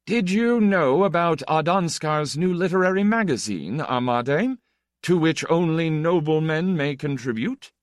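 A man's voice: on the high side, animated, a little slow, no echo, clean studio sound, voice pushed up. A man voicing a flippant, self-assured count with an urbane, ironic, teasing manner in an audiobook. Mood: amused gossip. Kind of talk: audiobook character dialogue.

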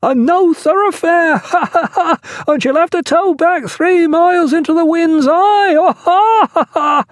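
High-pitched, gleeful rough sailor lookout. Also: male voice, moderate pace, few pauses, no echo, studio quality. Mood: crowing glee. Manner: hailing loudly, coarse colloquial sailor talk.

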